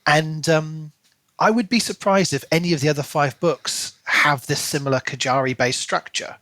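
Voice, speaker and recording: A man's voice: fairly high pitch, fairly even intonation, moderate pace, pushed and bright, no room sound. A man co-hosting a book podcast, recapping and discussing a novel. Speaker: podcast co-host. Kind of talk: book discussion podcast, reading a plot recap then casual chat.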